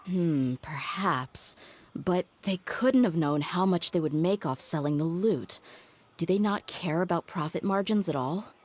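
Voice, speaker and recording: A woman voicing a tough young female mercenary in a game cutscene, steady and loyal, thoughtfully reasoning things out aloud. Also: fairly low pitch, a little slow.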